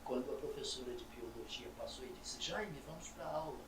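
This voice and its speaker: An older man from Salvador, a stage actor telling his story in an interview in a measured, reflective way. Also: mid-range voice, noisy background. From Brazil, Salvador. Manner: measured, reflective, with frequent pauses and "né?". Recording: roomy echo, distant mic, audible ambience.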